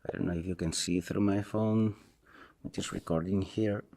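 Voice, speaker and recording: A man with a Spanish accent and Spanish as his first language, giving a casual, unscripted product review in a halting, hesitant way and repeating himself. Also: low voice, fairly even intonation, mellow. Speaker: tech reviewer, video host.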